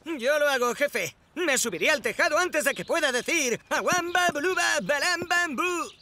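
A very high, bright and excitable voice belongs to a clumsy young fireman. He talks in a sing-song way in a dubbed children's cartoon. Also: moderate pace, heavily acted. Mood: eager. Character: eager clumsy young male firefighter. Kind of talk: Spanish cartoon dub.

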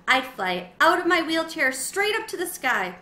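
A woman's voice: extremely high pitch, very animated, normal speed, pushed and bright, voice pushed up, heavily acted. A woman voicing an eager, earnest young schoolgirl in a children's storybook. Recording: roomy echo, faint background noise.

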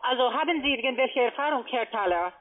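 A woman with a foreign, non-native German accent giving a halting telephone sales pitch, repeating and rephrasing as she explains. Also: expressive. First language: not German. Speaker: call-centre sales agent pitching trading. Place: phone call. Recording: tinny, narrow-band phone line.

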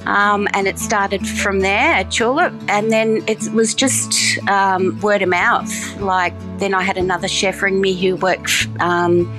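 A woman's voice: mid-range voice, fairly even intonation, unhurried, few pauses, over music. A woman speaking casually in an interview, chatty, with many ums and restarts. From Australia. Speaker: farm program coordinator.

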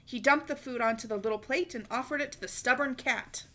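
A woman reading a children's picture book aloud in an expressive, emphatic way, acting it out for young listeners. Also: sits high, fairly quick. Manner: animated, emphatic, acts out dialogue. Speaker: storybook reader. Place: small living room, indoors on a couch.

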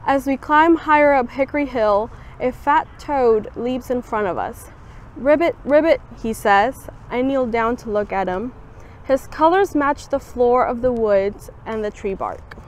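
A young woman volunteer reading a children's picture book aloud outdoors, giving the quoted lines a lively, expressive lift. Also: on the high side, a little slow, slight background noise. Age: young adult. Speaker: student volunteer reader. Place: outdoors on a campus bench.

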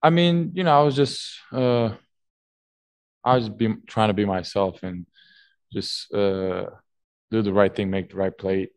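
A young man, a basketball player, answering postgame press questions haltingly, full of fillers and restarts, at a table mic in a team media room. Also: lowish voice, fairly even intonation, no room sound. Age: young adult. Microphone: table mic on stand.

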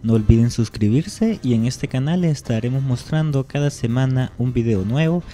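A man narrating a motorcycle travel video in a measured, explanatory voice-over. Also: medium pitch, dark tone, faint music behind. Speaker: channel narrator.

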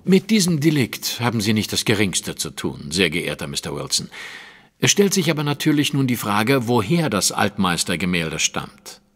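Shrewd detective man in an audio drama, lectures precisely, lowish voice, big echoey hall. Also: sing-song, normal speed, fairly bright tone, slightly rough, heavily acted. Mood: assured, explaining. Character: shrewd, self-assured male detective, precise and patient. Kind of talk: German audio drama acting.

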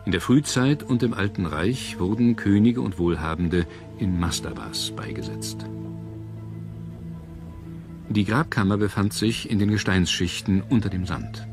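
Man, low voice, formal scripted documentary narration, measured delivery, clear music underneath. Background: background music.

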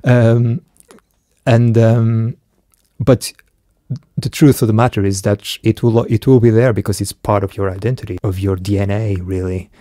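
A man's voice: fairly low pitch, steady tone, normal speed, warm tone, no echo, studio quality. A middle-aged man raised in Portugal, a filmmaker talking reflectively in a podcast conversation, with hesitant restarts.

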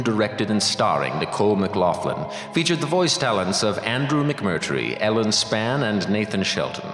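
A man's voice: mid-range voice, fairly bright tone. A man reading scripted horror radio credits as a dramatic, ominous announcer. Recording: echoey hall-like reverb. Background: music.

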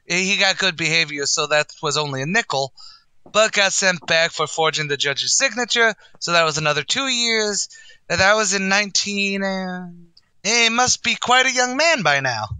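A man's voice: high voice, animated, normal speed, sharp tone, clear, resonant tone, no echo, heavily acted. An actor playing a brash, boastful male tavern manager, in a wisecracking 1940s radio comedy style. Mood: excited.

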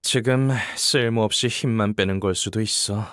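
A woman's voice: a bass voice, normal speed, smooth, no room sound, clean studio sound. A woman playing a cocky young military announcer in a game, informal and blunt, with teasing banter. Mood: nonchalant. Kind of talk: scripted game voice acting, battle commentary.

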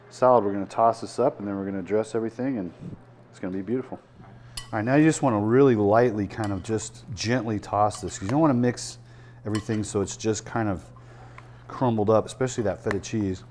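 A man's voice: lowish voice, brisk, a little hiss or hum. A man in his sixties, a chef hosting a cooking show, talking casually and explaining each step as he prepares a dish in a restaurant dining room. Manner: relaxed, chatty, explains as he works.

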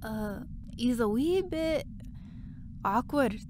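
A woman's voice: on the high side, lively intonation, takes it slowly, a little mellow, slight background noise. A woman playing a breezy, blunt young part-time worker in an audio drama, chatty and offhand. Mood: awkward, offhand.

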